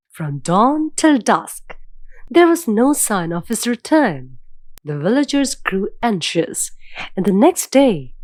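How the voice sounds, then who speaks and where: A woman narrating a scripted children's fairy tale in a measured, dramatic storytelling manner. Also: a little high, darkish tone, no room sound.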